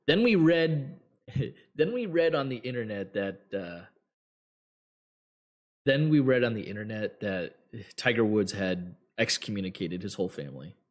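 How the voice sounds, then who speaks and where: A man hosting a trading show, giving blunt, rambling advice to viewers with many fillers, his voice getting hoarse. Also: lowish voice. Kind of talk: casual advice talk to viewers.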